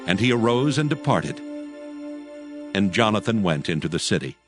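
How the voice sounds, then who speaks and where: A male narrator with a lowish voice delivers scripted scripture storytelling. His tone is solemn but varies widely in pitch. Music plays clearly behind him. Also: sing-song, moderate pace.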